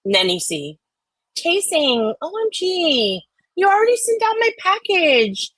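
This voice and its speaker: A woman co-hosting casually, chatting and reading online comments aloud in a loud, theatrical, emphatic manner. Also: extremely high pitch, sing-song, slow pace, no room sound. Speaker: co-host.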